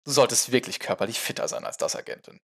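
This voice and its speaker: Male reader as audiobook scientist; lively intonation, mid-range, nerdy, testy, explanatory. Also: mid-range voice, fast, vocal fry, breathy edge, exaggerated voice. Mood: exasperated. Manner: dry, lecturing. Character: exasperated, nerdy male researcher. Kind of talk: audiobook character acting.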